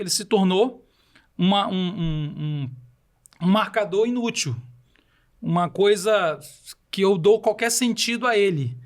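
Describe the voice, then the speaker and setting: A man from Belém do Pará with a Paraense accent, explaining ideas on a podcast and asking rhetorical questions as he goes. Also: high voice. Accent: Paraense Brazilian Portuguese. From Belém do Pará, Brazil. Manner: didactic, uses rhetorical questions. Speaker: podcast guest.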